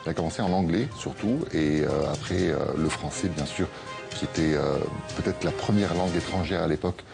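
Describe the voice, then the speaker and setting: A man interviewed for a TV report, speaking hesitantly with many pauses and fillers. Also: extremely low pitch, vocal fry, loud music behind the voice. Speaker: interviewee about broadcasting. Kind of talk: documentary interview.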